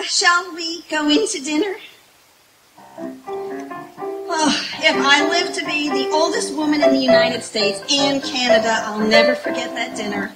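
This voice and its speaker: A woman playing a flustered, long-suffering young secretary in an old-time radio comedy, performed live outdoors. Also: high-pitched. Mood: nervous chatter. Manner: flustered, hesitant, exclaiming. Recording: echoey live outdoor stage sound.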